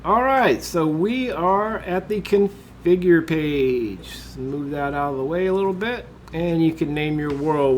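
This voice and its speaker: A man, congested from a cold, talking through a screen-recorded software tutorial in a relaxed way, with lots of uh and um. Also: on the high side, slight background noise. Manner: relaxed, with frequent uh and um fillers. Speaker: tutorial host.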